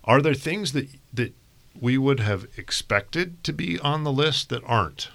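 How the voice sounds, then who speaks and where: A man's voice: mid-range voice, expressive, a little slow, vocal fry, a bit bright. A man from Thailand leading a panel Bible study, reading verses aloud and posing questions to the others. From northern Thailand. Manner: asks questions, reads scripture aloud. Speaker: discussion moderator.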